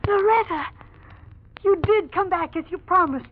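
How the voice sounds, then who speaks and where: A woman's voice: very high-pitched, moderate pace. An actress playing a haunted young woman in a 1940s radio thriller, melodramatic, anguished and hesitant in delivery. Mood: bewildered. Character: frightened young woman haunted by a supposed ghost. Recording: muffled, hissy 1940s radio recording.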